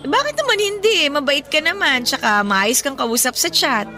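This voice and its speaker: A woman's voice: high voice, lively intonation, moderate pace, bright, forceful tone, soft background music. An actress playing a kind, supportive young woman in a radio drama, chirpy and encouraging, with a Filipino accent. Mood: curious.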